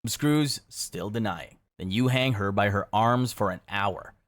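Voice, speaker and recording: A man reading scripted second-person narration in a measured, matter-of-fact way. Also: lowish voice, fairly bright tone.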